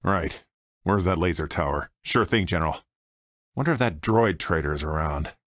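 An actor playing a cocky, grumbling smuggler pilot in a video game, with an American accent and short sardonic quips. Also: male voice, low voice, animated, normal speed, a bit bright, no echo, clean studio sound. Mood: wry, grumbling. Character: cocky, wry male smuggler pilot, grumbling reluctant hero. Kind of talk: video game character voice lines.

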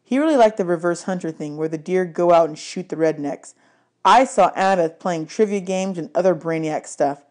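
A woman reading an audiobook aloud in an expressive storybook style. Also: on the low side, moderate pace. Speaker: audiobook reader.

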